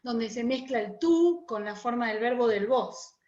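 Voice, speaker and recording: A woman's voice: on the high side, expressive, measured pace, a little mellow. A woman from Uruguay with a Rioplatense accent chats in an explanatory way as an interview guest, over a muffled, roomy internet call. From Montevideo, Uruguay. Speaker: guest, oral storyteller. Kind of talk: casual interview chat.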